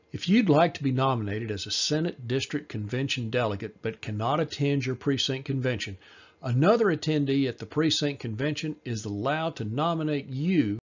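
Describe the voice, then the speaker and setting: A man reading a scripted informational voice-over, measured and explanatory, slowing down for key details. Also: mid-range voice, highs cut off. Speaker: party presenter.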